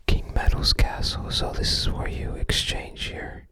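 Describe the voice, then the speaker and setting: This is a fully whispered ASMR voice, hushed and breath-only. The host takes things very slowly, musing aloud in an unhurried, informal way. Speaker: ASMR host browsing a game guide book.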